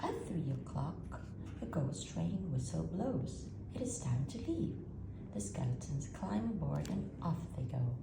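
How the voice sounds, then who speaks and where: A woman reads a children's story in a very deep, creaky voice with lively swings of intonation. She stretches out her phrases in a hushed, spooky way. The recording is echoey, with heavy noise behind her. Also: measured pace. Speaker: storytime reader. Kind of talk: expressive picture-book read-aloud for children. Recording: roomy echo, loud background noise.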